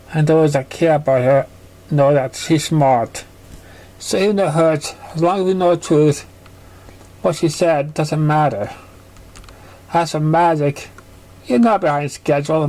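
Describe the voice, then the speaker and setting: A kindly adult mare, read by a man, talks in a somewhat raised pitch. She is a patient, reassuring caretaker pony from a storybook. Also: dark tone. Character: kind grown mare pegasus, reassuring caretaker.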